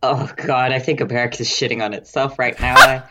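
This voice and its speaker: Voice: mid-range voice, steady tone, brisk, very noisy. A co-host of a casual podcast, trading dry, teasing banter, recording on a laptop headset. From Australia. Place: remote farmhouse.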